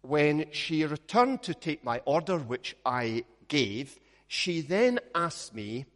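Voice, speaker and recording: A Scottish man preaches in a slow, measured way, with a fairly high voice for a man. He weaves light humor into his stories. His voice rings out with a long reverb, as in a large church. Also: sing-song. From Scotland. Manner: measured, storytelling with gentle humor. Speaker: minister. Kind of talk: sermon with opening prayer.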